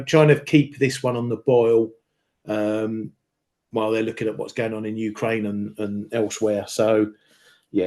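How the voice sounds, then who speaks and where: A man's voice: mid-range voice, a little mellow. A man, a market analyst, discussing the news and economic data in a casual, hesitant way with many fillers.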